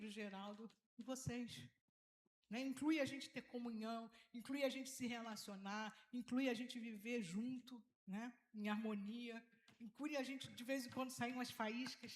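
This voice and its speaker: A woman pastor with a Carioca Brazilian accent, preaching conversationally, informal and repeating phrases for emphasis with frequent tag questions. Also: on the high side. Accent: Carioca Brazilian Portuguese. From Rio de Janeiro, Brazil. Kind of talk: conversational sermon to a congregation. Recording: roomy, echoing sound of a live talk.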